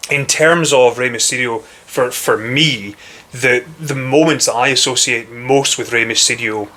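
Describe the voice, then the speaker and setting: A young man with a strong Scottish accent, a guest in a casual chat, talking in a measured, knowledgeable way. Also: mid-range voice, bright, forceful tone. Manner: knowledgeable, measured, precise phrasing.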